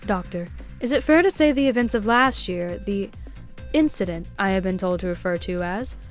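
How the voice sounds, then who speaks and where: A woman playing a polished TV news anchor in an animated story, with formal, measured scripted delivery. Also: medium pitch, soft background music, close to an everyday voice. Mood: formal, composed.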